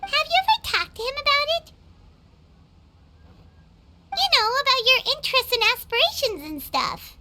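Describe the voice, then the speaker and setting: A woman voicing a tiny, childlike, excitable fairy companion in a video game, with a squeaky, chirpy delivery. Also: normal speed, long pauses, full character voice. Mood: sympathetic. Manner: speaks of herself in third person, chirpy. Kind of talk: video game character acting. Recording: game music behind the voice. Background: game music.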